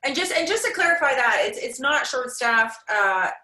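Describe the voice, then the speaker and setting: A woman's voice: sits high, big pitch swings, normal speed, pushed and bright. A woman with a Canadian accent hosting a casual panel webinar. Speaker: webinar host. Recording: roomy echo on webinar call.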